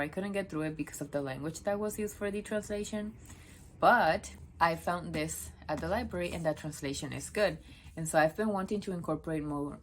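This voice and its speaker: Young woman, Spanish native from Puerto Rico, deep chatty vlog voice, meandering and self-correcting. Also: a little hiss or hum. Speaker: book vlogger. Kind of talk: casual talk to camera. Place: home office, sitting on the floor.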